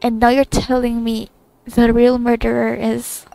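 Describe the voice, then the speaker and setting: High-pitched, creaky-voiced young woman gamer. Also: rough recording. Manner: rambling, bewildered, expressive. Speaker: gaming streamer. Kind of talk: casual game playthrough reaction. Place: game commentary with game music behind.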